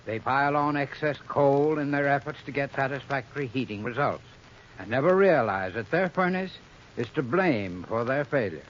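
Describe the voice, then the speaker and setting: A man's voice: medium pitch, lively intonation, normal speed, a little hiss or hum. A man reading a scripted 1930s radio commercial as an expert spokesman, with formal, instructive phrasing. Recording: muffled 1930s radio recording.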